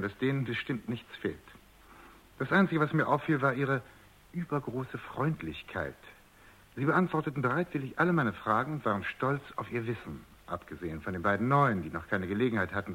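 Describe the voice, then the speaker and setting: A man's voice: on the low side, fairly bright tone, breathy edge. An actor playing an urbane, middle-aged male scientist in a radio drama, talking in a jovial, wordy, slightly patronizing way. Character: urbane, amused, self-assured male scientist, somewhat condescending. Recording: dull, band-limited radio recording.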